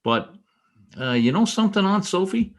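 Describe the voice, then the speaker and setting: A man playing a vain newspaper columnist in an old-time radio comedy, blustering and easily flustered by flattery. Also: high voice, normal speed. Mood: smitten, pleased. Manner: animated, blustering, hesitant when flattered. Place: home, online video-call production.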